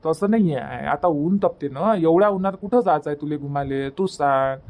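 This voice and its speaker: A man's voice: fairly high pitch, moderate pace, a little mellow, natural voice. A man playing a placating, argumentative village husband in a cartoon comedy, in colloquial Varhadi Marathi, repeating himself to make his point. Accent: Varhadi Marathi from Vidarbha.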